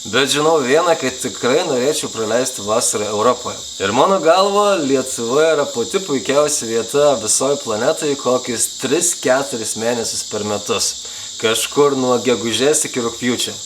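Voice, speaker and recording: A man with a Lithuanian accent, answering viewer questions in a relaxed, conversational way, often saying "you know". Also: mid-range voice, fairly quick, background noise. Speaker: travel vlogger. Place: under a roof outdoors at night in the rainforest. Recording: outdoor night with loud insects. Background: crickets and insects.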